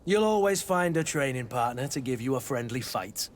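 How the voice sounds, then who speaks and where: An actor playing a blunt, bantering mercenary master-at-arms and mentor in a video game. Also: male voice, medium pitch, lively intonation, normal speed, a little hiss or hum, natural voice. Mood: wry. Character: gruff, teasing mercenary master-at-arms, loyal fighting mentor. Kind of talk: video game character acting.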